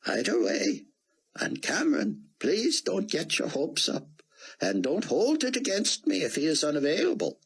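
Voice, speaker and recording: Man doing a kind old scientist guy, gentle and careful, raised pitch, husky. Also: wildly swooping pitch, unhurried, exaggerated voice. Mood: cautious. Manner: gentle, protective.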